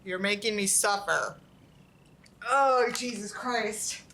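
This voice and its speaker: A woman reading a book aloud in an expressive, exasperated way, with sarcastic asides. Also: mid-range voice, slow, slight background noise.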